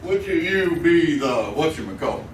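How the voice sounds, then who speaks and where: A man's voice: on the high side, sing-song, normal speed. A man acting a stubborn, grim backwoods mountain man with a rural Southern drawl in a live old-time radio western. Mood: blunt, suspicious. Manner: folksy, blunt backwoods talk. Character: tall grim Ozark mountain man, plain-spoken and stubborn. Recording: distant, echoey theater with noise.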